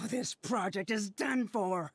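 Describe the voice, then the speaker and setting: An actress playing a bitter, accusing young student researcher in a video game, cold and reproachful. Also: female voice, mid-range voice, slow pace, husky, no echo, clean studio sound.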